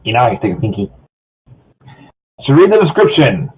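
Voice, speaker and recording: A man's voice: medium pitch, very animated, fairly quick, slight background noise. A man chatting casually and reading a story aloud with friends over an online voice call. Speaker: amateur fan fiction reader. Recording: muffled, phone-like online voice call.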